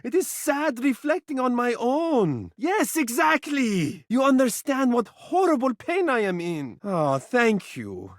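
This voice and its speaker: An actor voicing a vain, fussy, doting living mirror in a video game, with a flowery, pompous and melodramatic delivery. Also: male voice, extremely high pitch, big pitch swings, slow pace, hardly any pauses, dry recording, studio quality, full character voice. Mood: melodramatic, languishing. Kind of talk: theatrical character dialogue for a video game.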